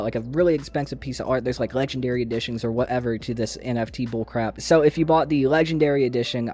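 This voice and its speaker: Casual male gaming news host, mid-pitched voice. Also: a little mellow. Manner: informal, slangy, mildly profane.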